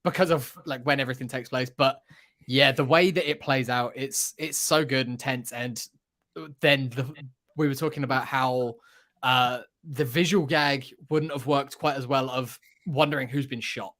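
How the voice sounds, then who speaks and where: A man's voice: medium pitch, fairly even intonation, moderate pace, fairly bright tone. A man co-hosting a podcast, chatting casually with the enthusiasm of a film fan. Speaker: podcast co-host. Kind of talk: casual chat.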